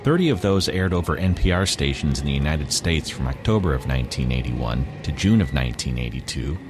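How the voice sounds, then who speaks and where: A man's voice: extremely low pitch, lively intonation, fairly quick, over music. A man hosting a podcast, reading a scripted introduction to old radio shows. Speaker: podcast host. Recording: clear background noise.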